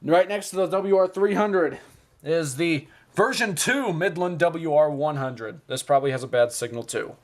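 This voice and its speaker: A man with a fairly high voice talks through things in a casual show-and-tell style. He is informal and excitable, cutting in with interjections. There is clear noise on the home recording. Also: normal speed. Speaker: video host.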